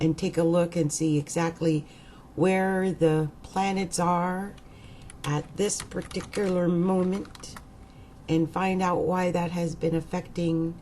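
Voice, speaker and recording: A middle-aged woman astrologer with a croaky voice, rambling hesitantly in a casual vlog with many fillers. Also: lowish voice, mellow, a little hiss or hum.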